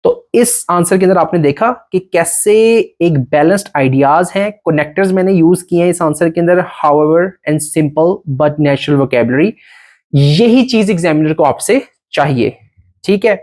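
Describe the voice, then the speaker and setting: Man, exam coach who switches between fast Hindi-Urdu and slow English, keeps checking in with the viewer. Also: a little high, a little mellow. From Pakistan. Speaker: IELTS coach. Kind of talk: tutorial lesson mixing Hindi-Urdu and English.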